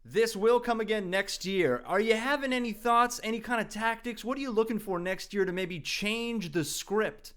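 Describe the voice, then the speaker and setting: Male correspondent, high and smooth-toned, polished mock-interviewer delivery. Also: moderate pace, hardly any pauses, a bit bright. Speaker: mock red carpet correspondent. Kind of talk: comedic interview.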